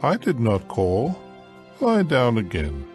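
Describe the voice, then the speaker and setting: A man's voice: medium pitch, huge pitch swings, takes it slowly, darkish tone, soft background music. An actor playing an elderly, fatherly priest, grave in manner, in a dramatized scripture audiobook. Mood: patient, instructing.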